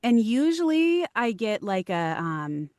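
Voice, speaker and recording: A woman's voice: on the high side, sing-song, slow, darkish tone, dry recording, studio quality. A woman voiceover actress, a podcast guest, talking through her craft in casual interview chat, thoughtful, with many ums.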